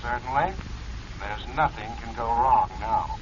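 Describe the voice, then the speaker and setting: An actor playing a murderer's accomplice in 1940s radio style, assured and conspiratorial in delivery. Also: male voice, medium pitch, unhurried, close to an everyday voice. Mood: cool, conspiratorial. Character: smooth-talking male accomplice in murder, reporting coolly. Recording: muffled, noisy vintage radio recording.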